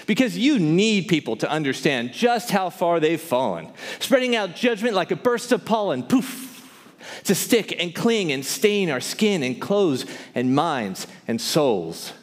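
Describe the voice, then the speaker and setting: A man's voice: a little high, sing-song, measured pace. A man performing theatrical spoken word poetry to a live audience, with an impassioned, emphatic, rhythmic rhyming delivery. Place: large hall, live before an audience. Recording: reverberant hall, live stage performance.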